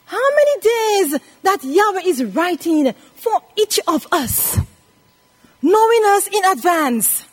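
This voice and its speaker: A woman's voice: very high voice, very animated, slow. A woman in her eighties preaching a scripture lesson, emphatically repeating phrases and putting questions to her listeners. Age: 80s. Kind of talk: sermon teaching from scripture.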